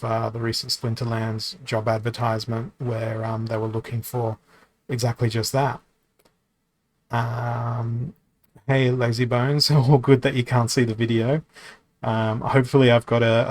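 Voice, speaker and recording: Man, game streamer, casual rambling chat, mid-pitched voice, reads out viewer questions. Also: no room sound. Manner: rambling, chatty, many ums and restarts.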